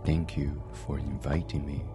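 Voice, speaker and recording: A man hosting a guided sleep meditation in a hushed, breathy, husky ASMR voice, speaking gently and soothingly with many pauses. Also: very deep voice, flat delivery, loud music behind the voice. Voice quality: breathy, husky, partly whispered.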